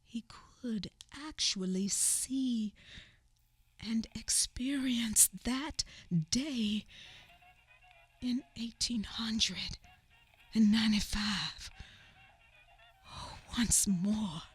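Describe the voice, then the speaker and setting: A woman's voice: a little high, very slow, pauses often, breathy edge. A woman narrating a family memoir in a radio play, somber and often sinking to a whisper. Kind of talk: dramatic radio theatre narration.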